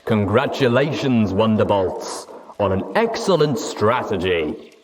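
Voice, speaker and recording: A man voicing an amiable cyborg pony villain for a story reading, conversational and calmly taunting. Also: on the low side, measured pace, a crowd in the background. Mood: smugly amiable. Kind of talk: dramatic audiobook character reading.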